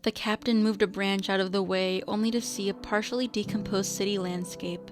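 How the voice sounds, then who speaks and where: A woman's voice: mid-range voice, fairly even intonation, a little fast. A woman reading scripted story narration for a radio drama. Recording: music behind the voice.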